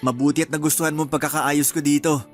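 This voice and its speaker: Guy doing a chill cheerful filipino husband, kinda high voice, soft music under it. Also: fast talker, natural voice. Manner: relaxed, cheerful, sighing. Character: young husband, hardworking and easygoing. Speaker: voice actor in a radio drama. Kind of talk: radio drama acting.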